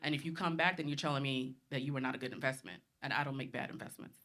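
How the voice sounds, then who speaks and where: A woman's voice: very deep voice, steady tone, fast, fairly bright tone. A woman in her thirties from the Bahamas, a panelist speaking bluntly and confidently in a live discussion.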